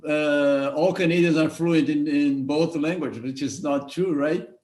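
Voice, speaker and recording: A man's voice: on the high side, fairly even intonation, unhurried, darkish tone, echoey room. A man with a Brazilian Portuguese accent, a native Portuguese speaker, interviewing a guest in a casual video call, often repeating his words. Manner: repeats words, stumbles, friendly.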